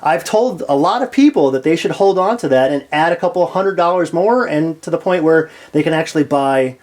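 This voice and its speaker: A man's voice: on the high side, lively intonation, a little fast, darkish tone. A man interviewing a guest in a casual, rambling, informal conversational way.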